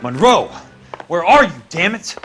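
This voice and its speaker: A tough American investigator from a 1940s crime game. He is sardonic and dry, with a hard-boiled delivery that swings widely in pitch. There is clear noise behind him. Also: very animated, unhurried. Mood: angry shouting. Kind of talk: dramatic game voice acting.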